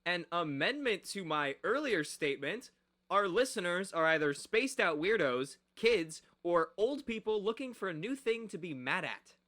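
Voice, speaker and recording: An actor playing a cocky, wisecracking late-night radio host in a radio play, with theatrical, sarcastic patter. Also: male voice, sits high, sing-song, moderate pace, bright, forceful tone, full character voice. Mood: wry, restless.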